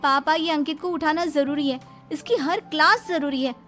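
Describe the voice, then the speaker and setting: A woman's voice: high-pitched, normal speed, fairly bright tone, faint music behind. A young woman voicing a talkative, bossy but caring teenage big sister who scolds and fusses in a moral story. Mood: bossy, fussing. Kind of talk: acted moral story dialogue.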